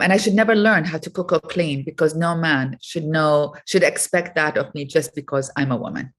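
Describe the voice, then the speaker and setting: A middle-aged woman from Iraq with a notably low voice talks as an interview guest. She thinks aloud, pausing often with soft fillers before going on. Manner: thoughtful, many pauses and fillers. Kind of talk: reflective interview talk.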